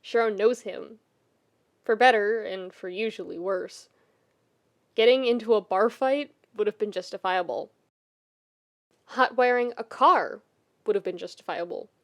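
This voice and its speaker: A woman reading fiction as a dramatic, emotive narrator, measured and with deliberate pauses. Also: medium pitch, dull audio. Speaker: podfic narrator.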